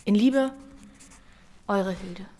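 An actress playing a condemned young mother in a German radio drama, tender and bravely composed, with a halting, breathy, often whispering delivery. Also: mid-range voice, mellow, a little hiss or hum. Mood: brave, loving.

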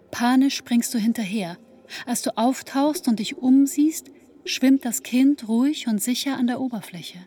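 An actress playing a dreamy female narrator in a radio play, recounting in lyrical, deliberate phrases. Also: fairly high pitch, warm tone, lightly acted. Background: music.

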